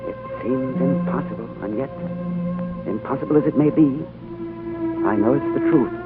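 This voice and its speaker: A man's voice: medium pitch, wildly swooping pitch, normal speed. An earnest guy telling a wonder story, voice rises and falls a lot, tinny radio with music under. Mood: awed. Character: male diplomat narrator, awed and searching. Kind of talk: 1930s radio drama acting.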